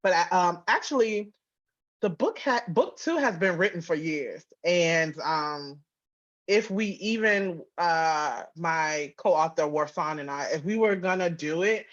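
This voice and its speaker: A woman's voice: lowish voice, expressive, a little slow, dull audio. A woman giving casual, candid interview answers as a guest author, chatty and emphatic. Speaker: guest, author and audio drama writer-producer.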